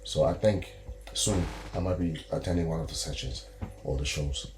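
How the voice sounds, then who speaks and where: A man's voice: a bass voice, normal speed, background noise. A man from Zimbabwe with a Zimbabwean accent, a musician guest answering easygoing, often restarting his sentences. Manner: easygoing, self-correcting, proud. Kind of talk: casual interview answers.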